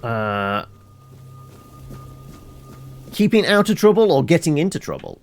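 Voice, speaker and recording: A man's voice: a little high, big pitch swings, a little slow. A man giving casual, chatty let's play commentary, rambling as he thinks aloud, with music behind him. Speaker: game streamer, host.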